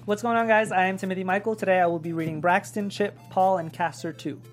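A woman's voice: medium pitch, steady tone, fast talker, faint music behind. A woman acting as a reader in a script table read, delivering short lines. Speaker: actor reading script parts.

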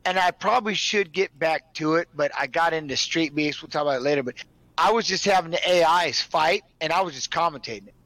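A man in his forties with a bright, forceful tone and a fairly high voice, talking in a casual group chat. He tells stories with lively energy and acts out other people's lines. The sound is dry and close. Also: brisk, no room sound, rough recording. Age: 40s. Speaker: guest, father who streams with his son.

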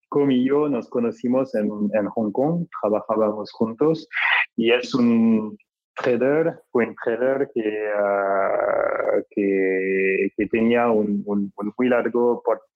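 A man's voice: on the low side, darkish tone, dull audio. A man from France with a French accent, speaking as a startup cofounder answering an interview question, with many fillers and restarts.

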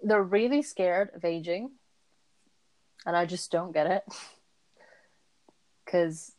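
Lively young woman telling a story. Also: lowish voice, takes it slowly, poor audio. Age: 20s. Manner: animated storyteller, laughs often. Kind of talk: casual chat.

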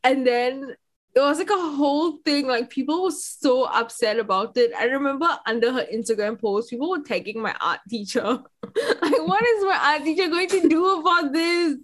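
A woman's voice: sits high, normal speed. A young woman from Singapore, hosting a podcast in casual, giggly chat full of fillers. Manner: chatty, laughs often, lots of "like" fillers. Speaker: podcast host.

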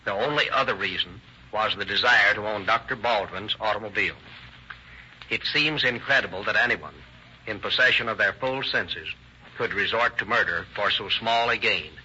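Low, hard-edged male radio narrator. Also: moderate pace, sharp tone. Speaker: police presenter and narrator. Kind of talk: formal scripted 1930s radio narration. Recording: muffled, hissy old radio recording.

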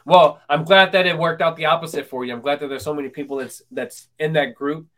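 Host, man in his twenties, a bit higher-pitched, hesitant, many fillers and restarts. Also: steady tone, a little fast, fairly bright tone. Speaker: podcast host. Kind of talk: casual interview chat.

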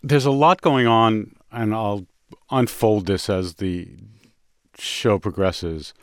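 A man hosting a music show in casual conversation, speaking in a reflective, halting way with pauses mid-sentence. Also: lowish voice, smooth, no room sound, studio quality. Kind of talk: casual radio music discussion. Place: dry studio.

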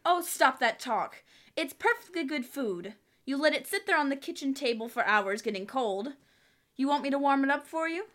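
Shrill, nagging radio housewife. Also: female voice, animated, moderate pace, fairly bright tone. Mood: indignant. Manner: exasperated, theatrical. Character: nagging, wounded housewife in a radio comedy sketch. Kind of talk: old-time radio comedy acting.

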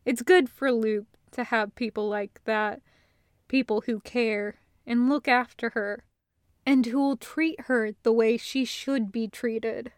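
A woman narrator reading scripted fiction in a measured, understated storytelling manner. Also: fairly high pitch, fairly even intonation, dry recording, studio quality. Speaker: audiobook narrator.

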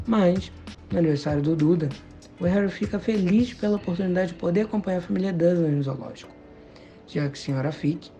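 Mellow Brazilian man, fairly high voice. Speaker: podcast panelist.

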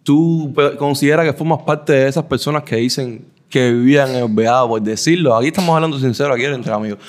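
A man's voice: medium pitch, fast. A man hosts a laid-back talk show over drinks. He teases his guest, stumbles into repeated words and leans on street slang, in an ordinary mid-range male voice. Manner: playful, teasing, repeats himself, lots of slang. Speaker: podcast host. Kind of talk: casual interview over drinks.

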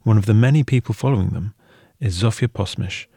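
A man reads scripted documentary narration as a podcast host. His voice is fairly low and slightly raspy, captured close and dry with no room sound. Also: brisk, dark tone, no echo.

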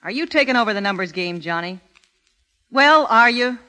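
A woman's voice: on the high side, expressive, normal speed, bright, forceful tone, big-room sound. An American actress playing a tough, plucky female numbers-game boss in 1930s radio drama style, on a vintage recording. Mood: bitter, hurt.

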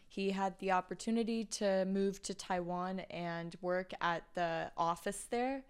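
A woman's voice: medium pitch, a little slow, smooth. A young woman from China, a guest on a casual chat podcast, who talks in a chatty way, giggling often and filling gaps with ums.

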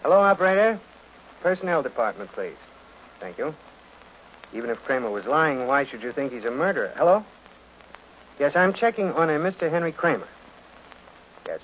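A flippant detective's sidekick, with a fairly low voice and a sardonic, slangy way of talking. The sound is an old radio drama, dull and slightly noisy. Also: male voice, fairly bright tone. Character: wisecracking, irreverent male detective's assistant, cocky and flippant. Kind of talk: 1940s radio mystery acting.

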